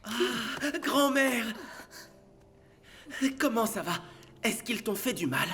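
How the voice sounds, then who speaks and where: An actor voicing a kind, brave little bear in an animated film, earnest and plain-spoken. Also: mid-range voice, slow, in a large hall, slight background noise, heavily acted. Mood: worried.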